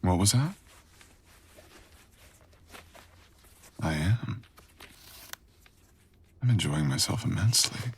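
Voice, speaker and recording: A man playing a brooding, possessive, dangerous creature boyfriend in an intimate ASMR roleplay, husky and breathy, murmuring teasing commands. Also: low-pitched, slight background noise. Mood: commanding. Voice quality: husky, breathy, often dropping to a whisper. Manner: slow, teasing, murmured commands with deliberate pauses.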